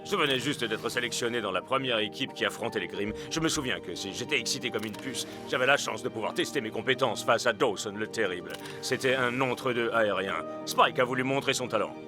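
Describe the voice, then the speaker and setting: An actor playing a troubled, guilt-ridden footballer, hesitant and earnest, in French cartoon dubbing. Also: male voice, medium pitch, brisk, few pauses, over music, close to an everyday voice. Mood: remorseful.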